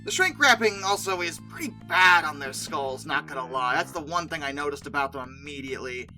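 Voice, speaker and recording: An expressive video host with a bright, forceful voice and very lively intonation. The delivery is informal and chatty commentary, with opinionated, exasperated asides and mock disbelief. Music plays faintly behind the voice. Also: mid-range voice, brisk.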